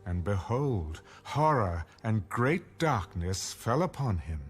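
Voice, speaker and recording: Low-voiced solemn male Bible narrator. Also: very animated, takes it slowly. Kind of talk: scripted dramatized Bible narration. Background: music.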